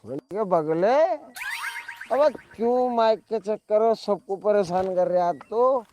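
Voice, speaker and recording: A man's voice: high-pitched, measured pace, dark tone, slight background noise. A man playing an exasperated, menacing villager in a comedy sketch, scolding with an ominous manner. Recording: faint music behind the voice.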